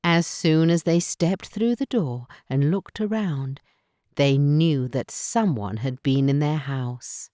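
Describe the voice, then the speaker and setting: A woman narrating a children's bedtime story in careful, expressive phrases. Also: deep voice, takes it slowly, no room sound, studio quality.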